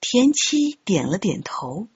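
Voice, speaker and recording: A woman narrating an audiobook story in an expressive, scripted storytelling style. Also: mid-range voice, takes it slowly, breathy edge, highs cut off. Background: background music.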